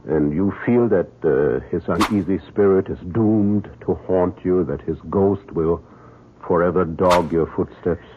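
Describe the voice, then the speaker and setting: Fatherly older physician: low voice, soothing, hesitant, vintage radio drama with thin sound. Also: a little slow. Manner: avuncular, hesitant with small uhs, soothing. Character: older fatherly male doctor, Viennese, reassuring and kindly. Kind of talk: old-time radio drama acting. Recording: narrow noisy old radio broadcast.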